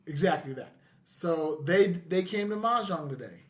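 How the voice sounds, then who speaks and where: A man's voice: fairly high pitch, animated, measured pace, fairly bright tone. A man co-hosting a casual podcast chat, talking in an enthusiastic, effusive way. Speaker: podcast co-host.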